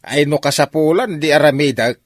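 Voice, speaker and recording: A man's voice: a little high, brisk, no room sound, lightly acted. A man playing a stubborn, hard-drinking father in a radio drama, blustering and exclaiming.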